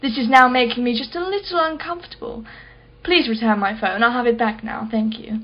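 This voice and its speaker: Woman playing a haughty, high-voiced rich art collector. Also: brisk, dull audio, close to an everyday voice. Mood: indignant. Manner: articulate, imperious, sardonic. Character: haughty, sharp-tongued wealthy female art collector, sceptical. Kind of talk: radio play acting.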